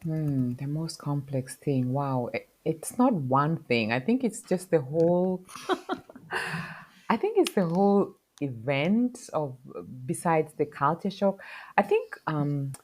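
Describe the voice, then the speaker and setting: Brief: middle-aged woman, podcast guest, unusually low pitch, thoughtful and searching, lots of fillers. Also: very animated, darkish tone. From Kenya. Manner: reflective, halting, many fillers. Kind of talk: casual interview talk.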